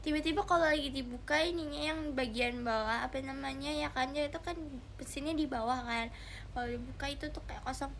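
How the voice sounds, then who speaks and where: A woman's voice: high-pitched, a little fast, gravelly, fairly bright tone, a little hiss or hum. A young woman, chatting casually and giggly with viewers on a livestream, addressing them directly. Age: young adult. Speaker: idol livestreamer.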